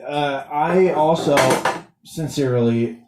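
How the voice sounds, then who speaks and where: A man hosting a comedy show, introducing the next comic in a halting, laughing way with many fillers. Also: fairly high pitch, heavy background noise. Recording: roomy club sound, taken from a distance.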